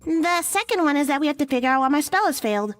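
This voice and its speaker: Very high girlish voice, woman acting as a young witch, prim and bossy, teasing, visual novel game, music behind. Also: animated, quick pace.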